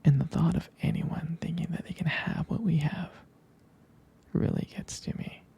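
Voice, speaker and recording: A man playing a jealous, devoted young boyfriend in an intimate ASMR roleplay, speaking in a hesitant, tender, breathy half-whisper. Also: fairly high pitch, steady tone, moderate pace, mellow, exaggerated voice. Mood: jealous, earnest.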